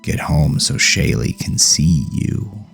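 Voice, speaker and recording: Deep-voiced, folksy old father, acted by a man. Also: very animated, slow, vocal fry, a bit bright, faint music behind, full character voice. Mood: gentle, tired. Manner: folksy colloquial phrasing. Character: elderly plain-spoken father, folksy, weary, caring.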